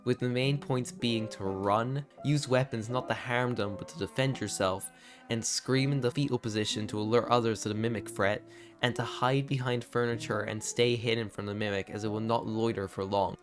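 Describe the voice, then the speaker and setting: Expressive man narrating over music. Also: mid-range voice, normal speed. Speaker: video essay narrator. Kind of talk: scripted analysis narration with casual asides.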